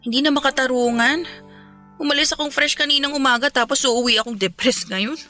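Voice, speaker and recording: A woman's voice: sits high, brisk, sharp tone, faint music behind. A woman playing a respectful, affectionate young working daughter in a Filipino radio drama, speaking expressively. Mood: exasperated.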